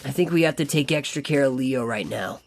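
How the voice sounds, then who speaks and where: A woman's voice: extremely low pitch, brisk. A woman voicing a friendly, slangy teenage male mutant turtle in a comic dub, talking like a surfer dude as he narrates a letter. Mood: worried, tender.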